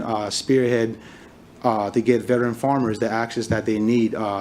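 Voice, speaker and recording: A man from Liberia in his thirties gives a talk at a conference, with a voice of middling pitch. He speaks haltingly, pausing on fillers and restarting his phrases, and a faint hum of room ambience sits behind him. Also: a little mellow. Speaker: farmer and summit speaker. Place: conference hall stage.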